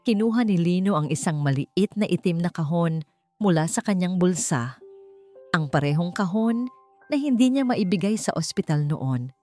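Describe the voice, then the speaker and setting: Female story narrator, slightly low register, dramatic scripted romance read, soft music bed. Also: animated, normal speed. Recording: music under the voice. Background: background music.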